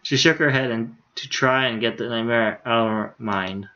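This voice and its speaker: A man's voice: mid-range voice, normal speed, pushed and bright, lo-fi sound. A man reading a visual novel aloud on a livestream in a casual, unpolished way. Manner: casual, stumbles over words, swears in asides. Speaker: game streamer.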